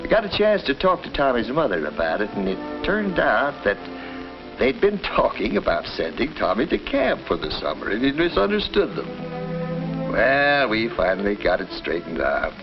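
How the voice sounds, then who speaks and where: Fatherly 1950s film doctor, lively delivery. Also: medium pitch, normal speed. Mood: explanatory, reassuring. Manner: folksy, fatherly, explanatory. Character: kindly small-town family doctor recounting cases, reassuring. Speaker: narrator and doctor. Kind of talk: 1950s educational film narration and acting. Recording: dull old film soundtrack with music.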